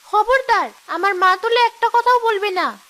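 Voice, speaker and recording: A woman voicing a high-pitched, exasperated cartoon housewife. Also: measured pace. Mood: nagging, annoyed. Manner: nagging, sharp-tongued. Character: scolding, exasperated young wife and mother. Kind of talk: cartoon story dialogue.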